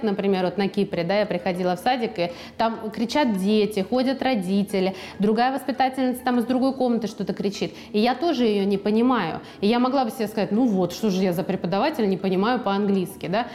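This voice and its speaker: A woman guest on a studio podcast, talking in a lively, chatty way and now and then acting out what people said to her. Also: mid-range voice. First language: Russian. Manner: lively, chatty, acts out quoted speech. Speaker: guest author. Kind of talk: podcast conversation.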